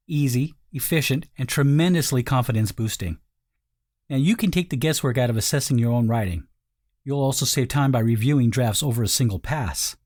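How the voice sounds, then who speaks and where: A man's voice: mid-range voice, a little mellow. A man narrating a scripted sales voice-over, speaking directly and persuasively to the listener. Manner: direct, persuasive second-person address.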